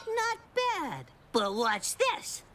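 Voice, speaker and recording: A woman's voice: sits high, huge pitch swings, drawn-out words, noisy background, heavily acted. A woman voicing a confident young boy who leads his pals in a cartoon. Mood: cocky. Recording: loud cartoon music behind.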